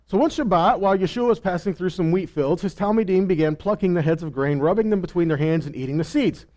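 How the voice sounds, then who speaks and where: A man's voice: sits high, fast, a little mellow. A man teaching scripture in a sermon, emphatically asking rhetorical questions, repeating phrases and answering himself.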